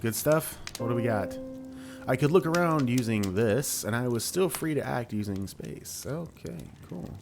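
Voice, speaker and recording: A man's voice: medium pitch, lively intonation, normal speed, gravelly, over music. A young man hosting a horror let's play, reading game text aloud with wry asides. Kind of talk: casual gameplay commentary.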